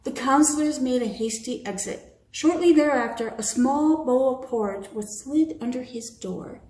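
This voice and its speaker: A woman's voice: sits high, moderate pace, a little mellow. An American woman reading a bedtime story expressively to children, in a roomy, echoing home recording. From Michigan, United States. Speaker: storybook reader.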